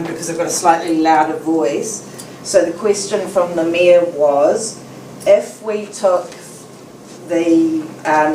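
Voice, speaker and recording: A woman speaking in a council meeting, deliberate and measured as she restates a question. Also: low voice. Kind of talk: meeting discussion. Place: council chamber. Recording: echoey chamber from distant mic, faint noise.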